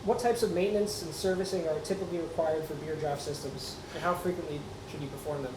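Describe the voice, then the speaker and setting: A man's voice: fairly high pitch, steady tone, fast talker. A man working as an interviewer, putting prepared questions to his guest. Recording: roomy echo with background noise.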